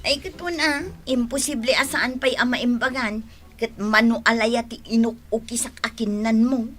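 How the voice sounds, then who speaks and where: An actress playing a chatty, forthright village neighbour in a radio drama, with a brisk manner and an Ilocano accent. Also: female voice, fairly high pitch, steady tone, fairly bright tone, soft background music, lightly acted. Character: pushy, chatty village neighbour woman asking favours.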